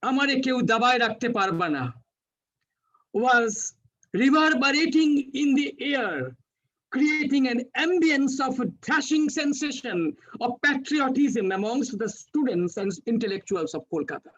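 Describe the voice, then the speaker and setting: A man from West Bengal with a Bengali accent, giving a formal, earnest webinar speech with halting restarts. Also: very high voice, lively intonation, a bit bright, highs cut off. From West Bengal, India. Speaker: webinar speaker.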